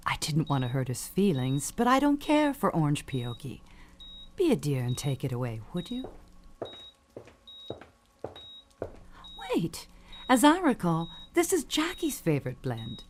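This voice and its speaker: An actress playing an elderly, imperious, manipulative dying matriarch in an audio suspense drama, haughty and theatrical in manner. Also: female voice, low voice, huge pitch swings, moderate pace, a little hiss or hum. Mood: sweetly scheming. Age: older.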